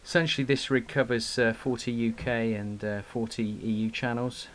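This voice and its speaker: A man giving an unscripted hobbyist product demonstration in a halting way, with frequent pauses, recorded indoors on a camera mic. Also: medium pitch, little pitch movement. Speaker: radio hobbyist presenting gear. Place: indoor room at a radio desk. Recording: clear background noise, clicks.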